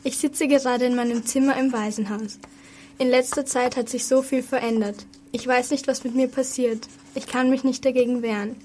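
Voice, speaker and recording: A troubled orphan girl of thirteen, played by a schoolgirl in an amateur radio play. Her voice is very high and young, with faint noise behind it. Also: steady tone, normal speed, a little mellow, close to an everyday voice. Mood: troubled, confiding. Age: child pupil, about 8th grade or younger.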